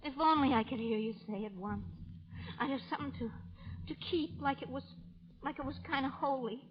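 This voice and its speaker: A woman's voice: sits high, brisk, natural voice. An actress playing a fiery, devoted frontier woman in an old radio drama, with emotional, rustic Western speech. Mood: pleading, tender. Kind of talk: 1930s-40s radio drama acting. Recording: muffled vintage radio recording, music underneath.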